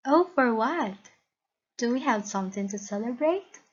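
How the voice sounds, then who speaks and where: A storyteller plays a gentle young cartoon woman. Her voice is very high, tender and hesitant, on a slightly dull lo-fi recording. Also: animated, moderate pace, slightly rough. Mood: curious. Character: gentle, apologetic young woman, reluctant object of affection.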